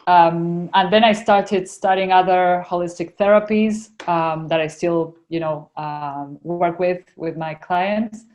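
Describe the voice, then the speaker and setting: A woman's voice: fairly low pitch, fairly even intonation, slow pace, hardly any pauses, darkish tone. A young woman with an Argentinian accent, telling her story as a podcast guest in casual conversation, full of fillers and restarts. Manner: chatty, many fillers and restarts.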